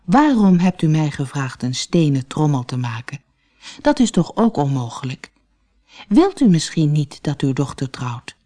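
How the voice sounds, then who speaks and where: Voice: mid-range voice, sing-song, a little slow, mellow. A storyteller voicing a brave, clever young man in a fairy tale, who speaks politely and with composed reasoning. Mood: respectful, challenging. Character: brave, clever, courteous young male suitor.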